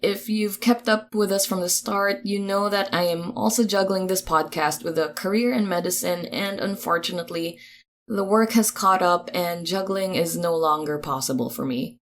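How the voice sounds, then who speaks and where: A woman reading podcast credits in a chatty, informal, upbeat announcing style, with expressive delivery. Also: on the low side, normal speed.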